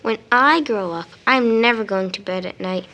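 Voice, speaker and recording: A woman's voice: mid-range voice, normal speed, bright, forceful tone. A woman playing a boisterous, playful little child in an audio drama. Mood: excited.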